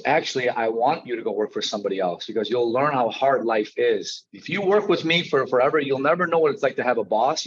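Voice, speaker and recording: A man's voice: medium pitch, slightly rough. Storytelling male guest, slightly dull audio. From Chicago, United States. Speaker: podcast guest, mortgage branch manager and coach. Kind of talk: conversational personal storytelling.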